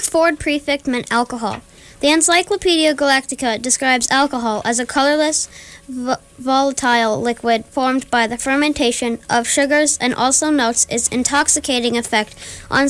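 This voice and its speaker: A matter-of-fact guidebook narrator performed by a woman. Her voice is on the high side, and she delivers scripted radio-play narration in a measured, explanatory way. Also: a bit bright.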